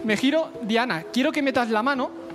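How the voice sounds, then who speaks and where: A man's voice: extremely high pitch, normal speed. A young man in his twenties from Valencia with a Spanish accent, confidently talking judges through a mentalism act on a big TV studio stage. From Spain. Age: 20s. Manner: confident, smooth, addresses judges directly. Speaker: talent show contestant. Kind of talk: stage patter while performing mentalism. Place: large television studio stage. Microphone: headset mic. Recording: broadcast audio with clear music behind.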